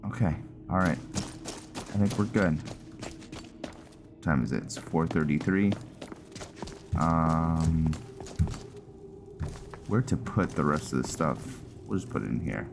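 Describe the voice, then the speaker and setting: A man's voice: rumbling low, gravelly. A man giving casual, conversational let's-play commentary, musing aloud as he plays. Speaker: gaming streamer, host.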